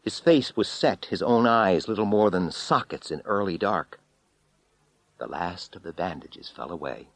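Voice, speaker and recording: A man narrating a first-person horror story as an audiobook reader, theatrical and intense, swinging between hushed and loud delivery. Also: deep voice, no echo.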